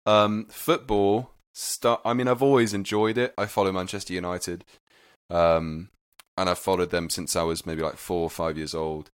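A man's voice: low-pitched, little pitch movement, normal speed, smooth, no room sound. A young British man from Wales, a native Welsh speaker with a mixed British accent, chatting casually and self-deprecatingly as a podcast guest. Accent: mixed British, slightly London. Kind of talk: casual chat.

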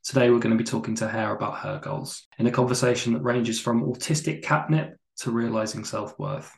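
Guy hosting a chatty podcast, gravelly voice, roomy echo, pokes fun at himself. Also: medium pitch, steady tone, fairly quick. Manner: self-deprecating, chatty. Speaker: podcast host. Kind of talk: casual podcast interview.

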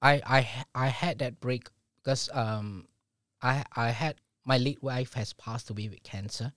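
Earnest middle-aged guest, mid pitch, halting restarts, dry recording. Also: male voice, a bit bright, dry recording. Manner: earnest, repeats words when starting sentences. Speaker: business owner guest. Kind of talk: casual interview chat.

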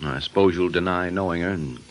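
An actor playing a suave, wry American private detective with a dry, sardonic manner in a radio drama. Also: male voice, low-pitched, brisk, close to an everyday voice. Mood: cool, sardonic.

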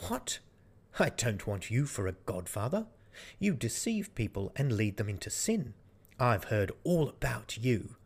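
A man's voice: mid-range voice, very animated, unhurried, slightly rough, exaggerated voice. Man as blunt, indignant poor peasant.

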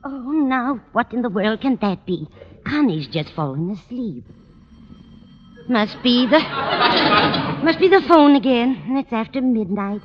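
A woman's voice: on the high side, animated, a little slow, long pauses. An actress playing a kindly, fussy, scatterbrained older landlady in a vintage radio comedy, rambling in a motherly way. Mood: puzzled. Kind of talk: 1940s-50s radio comedy acting. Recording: muffled, noisy old-time radio recording.

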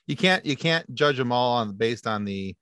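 A man's voice: mid-range voice, animated, moderate pace, fairly bright tone, smooth, dry recording, clean studio sound. A man from the United States, a podcast guest, chatting casually with friendly joking asides. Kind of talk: casual interview chat.

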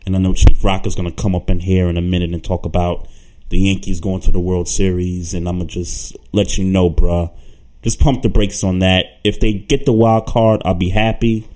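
A very deep-voiced man hosts a laid-back sports talk show. He talks loosely, repeating words and restarting as he thinks. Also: moderate pace. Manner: relaxed, stammers and repeats words. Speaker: podcast co-host.

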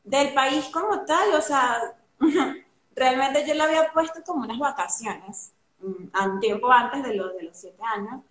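A young woman from Venezuela with a Venezuelan accent, chatting casually as an interview guest, animated and full of fillers. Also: sits high, a little slow. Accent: Venezuelan Spanish. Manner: chatty and animated, many fillers, laughs easily. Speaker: guest artist. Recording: roomy echo.

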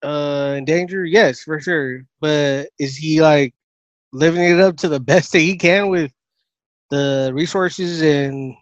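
A man's voice: fairly high pitch, slow, dry recording, muffled recording. A man chatting casually as a co-host, giving his opinions in everyday conversational language. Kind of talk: casual chat.

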